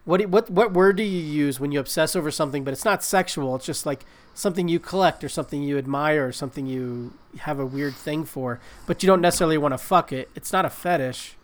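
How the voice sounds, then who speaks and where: A man's voice: a little high, fast talker, hardly any pauses. A man with a stuffy nose from a cold, rambling and joking crudely as a podcast co-host. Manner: rambling, profane, self-mocking. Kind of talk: casual chat.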